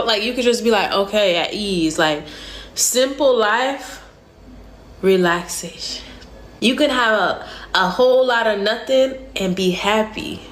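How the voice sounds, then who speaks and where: A young woman chatting casually to camera in a relaxed way, filling her talk with "like" and "you know". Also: a little high, slight background noise. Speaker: vlogger.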